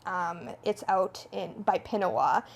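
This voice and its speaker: A woman's voice: fairly low pitch, a little slow, creaky. Chatty young woman, fillers galore. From Canada. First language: Italian. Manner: chatty, peppered with "like", upbeat. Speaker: podcast guest, food reviewer. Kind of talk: casual podcast chat.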